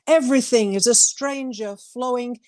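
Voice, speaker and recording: A woman's voice: fairly high pitch, normal speed, darkish tone, no echo. A woman co-hosting a talk show as a poet, speaking earnestly and emphatically and repeating phrases for emphasis. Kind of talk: heartfelt talk and poetry reading.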